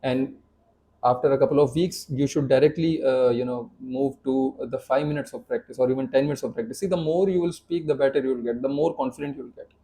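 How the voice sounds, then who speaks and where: Earnest Indian-accented man with mellow tone. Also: mid-range voice, steady tone, fast talker, slight background noise. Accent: Indian English. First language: Hindi. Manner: earnest, many repeats and fillers. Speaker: English teacher. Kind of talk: casual to-camera talk.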